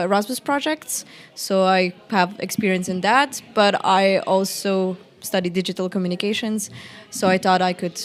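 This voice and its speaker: A young woman from Croatia, speaking relaxedly in a podcast discussion and often saying "basically". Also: fairly low pitch. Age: young adult. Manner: relaxed, says "basically" a lot. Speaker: student and youth worker.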